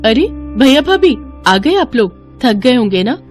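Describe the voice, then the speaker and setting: A woman voicing a gentle, dutiful village wife in a moral story, mild and questioning. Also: sits high, very animated, unhurried, faint music behind, voice pushed up. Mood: concerned.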